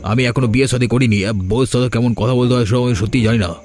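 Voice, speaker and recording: A man's voice: mid-range voice, flat delivery, racing, lightly acted. A man voicing an eager, unmarried young man in a cartoon, the scheming couple's friend. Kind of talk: cartoon dubbing. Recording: faint music behind.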